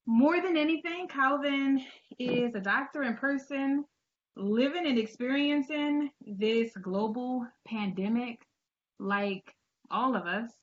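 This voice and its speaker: A woman's voice: a little high, takes it slowly, muffled recording. A woman hosting a conversational interview in an enthusiastic, encouraging manner. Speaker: show host.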